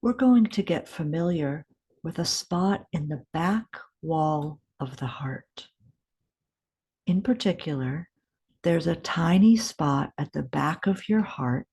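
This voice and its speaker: A woman guiding a meditation in a gentle, unhurried way, with deliberate pauses and a soft, breathy edge. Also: on the low side. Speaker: meditation guide. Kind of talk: guided meditation, scripted instruction.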